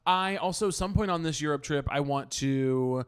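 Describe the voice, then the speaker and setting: A man's voice: fairly high pitch, normal speed, clear, resonant tone. A man hosting a podcast in a casual, chatty and playful way, joking at his own expense. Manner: chatty, playful, self-deprecating. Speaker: podcast host. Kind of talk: casual chat.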